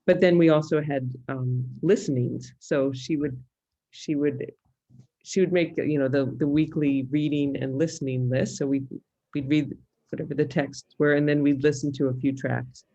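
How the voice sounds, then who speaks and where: A woman's voice: sits low, fairly even intonation, moderate pace, mellow, highs cut off. A woman with a Californian American accent, talking casually as an interview guest and thinking aloud with some hesitation. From California, United States. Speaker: interview guest, musicologist and fiddler.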